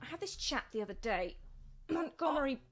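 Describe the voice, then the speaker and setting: An actress in a radio play playing a down-to-earth, candid masseuse who chats in a confiding, matter-of-fact way. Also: female voice, high voice, animated, brisk, fairly bright tone. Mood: wry, gossipy. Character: chatty, down-to-earth young masseuse, candid and wry.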